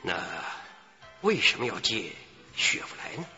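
An actor playing an anxious, self-serving company boss in a radio drama, hesitant and self-pitying, with sighs. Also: male voice, fairly low pitch, very animated, measured pace, pushed and bright, exaggerated voice. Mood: uneasy. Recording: muffled, reverberant hall sound, faint music.

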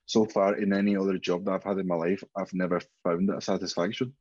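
A man from Spain speaks English with a Spanish accent, his voice slightly low with a husky edge. He chats openly and swears freely as a guest on a video call. The sound is somewhat dull and lo-fi. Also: flat delivery, quick pace, a little mellow. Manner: candid, sweary storytelling. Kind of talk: casual interview chat. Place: home room, indoors.

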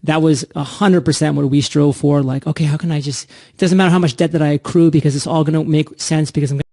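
A man's voice: fairly high pitch, steady tone, fast, a little mellow, no room sound, studio quality. A man hosting an upbeat entrepreneurship podcast, with an emphatic, punchy delivery. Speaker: podcast host.

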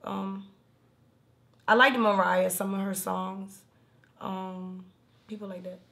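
A woman in her thirties with a raspy alto voice, chatting casually in an interview and rambling through stories with many fillers. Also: mid-range voice, little pitch movement, slow, a bit bright. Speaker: rapper and singer interviewed. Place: indoor room, seated on a leather couch.

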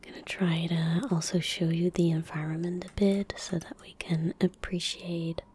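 A woman in her twenties speaking in a breathy, gentle whisper close to the mic, giving unhurried ASMR commentary as she plays a game. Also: fairly low pitch, level tone. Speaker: ASMR gaming streamer. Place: indoor room at a desk. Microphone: close desk microphone.

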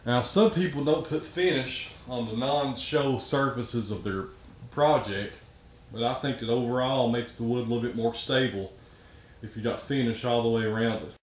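Easygoing bearded woodworker talking outdoors. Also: male voice, mid-range voice, normal speed, slight background noise, rough recording. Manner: easygoing, plainspoken, chatty. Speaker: woodworker and channel host. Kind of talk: casual workshop vlog. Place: outdoors in autumn woods, then a small workshop.

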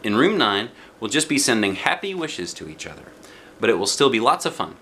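A man voicing a calm, patient woman kindergarten teacher in a storybook, measured and restrained. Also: lowish voice, slight background noise. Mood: gentle.

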